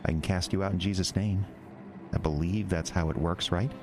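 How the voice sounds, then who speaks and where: An actor playing an earnest, anxious young male pastor in an audio drama, speaking in a measured, tense manner. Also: low voice, steady tone, music in the background. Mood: firm, suspicious. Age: young adult.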